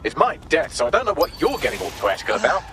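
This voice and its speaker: An actor playing a sardonic, unflappable artificial intelligence in a video game, with a deadpan and articulate delivery. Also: male voice, fairly high pitch, fast. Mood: dry sarcasm. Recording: music under the voice.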